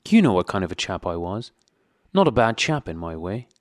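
A man's voice: sits low, sing-song, a little fast, dry recording, clean studio sound. A man voicing a frightened, pudgy middle-aged prisoner who pleads eagerly and nearly blubbers. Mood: pleading.

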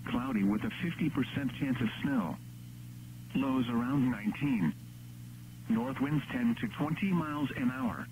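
A computer-generated man's voice reads scripted weather bulletins as an automated radio announcer. The pitch is average and the delivery is measured and impersonal. The radio broadcast carries a faint noise and hum. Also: creaky, darkish tone, poor audio. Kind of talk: synthetic scripted weather forecast reading.

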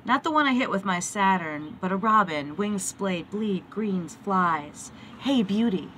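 A middle-aged woman poet reading her own verse aloud with deliberate, dramatic phrasing, recorded on a phone held at arm's length. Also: mid-range voice, expressive, a bit bright, slight background noise.

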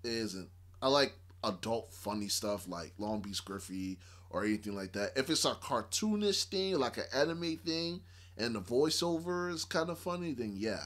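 A man's voice: mid-range voice, animated, normal speed, a bit bright. A man in his thirties chatting casually to camera, giving opinions in a hesitant, rambling, repetitive way. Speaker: reaction video host. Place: small room indoors.

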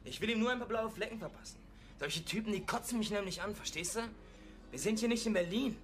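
A man's voice: sits high, quick pace, over music, natural voice. A young male actor playing an aggressive, bigoted teenage hothead in a German radio drama. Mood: aggressive, scornful.

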